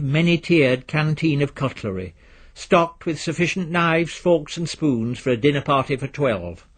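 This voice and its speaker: A man narrates literary fiction in a measured, wry way. His voice sits a little high for a man and has a husky, unpolished edge. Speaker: audiobook narrator.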